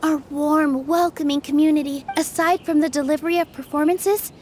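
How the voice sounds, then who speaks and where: A woman voicing a gentle, earnest young dancer with a soft, sincere delivery in a video game. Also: high-pitched, steady tone, moderate pace, slight background noise, lightly acted. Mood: warm, earnest.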